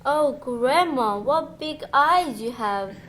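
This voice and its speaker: Eager storybook heroine, acted by a female performer, highish voice, school radio play, clear birdsong and ambient noise. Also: lively intonation, slow pace, fairly bright tone, full character voice. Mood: curious. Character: Fatima, eager curious girl lost in storybook. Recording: noisy, birdsong and ambience behind.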